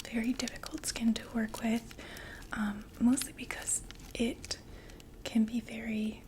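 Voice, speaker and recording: A woman playing a polite vampire makeup saleswoman in an ASMR roleplay, whispering softly with gentle, attentive, explanatory patter. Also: a little high, poor audio. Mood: gently explanatory. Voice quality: soft breathy whisper.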